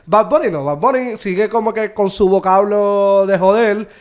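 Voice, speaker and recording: A young man with a Puerto Rican Spanish accent talks in a high voice. He co-hosts a casual chat and stays animated, quoting people in quick bursts. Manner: animated, laughs often, quotes others in quick bursts. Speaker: podcast co-host.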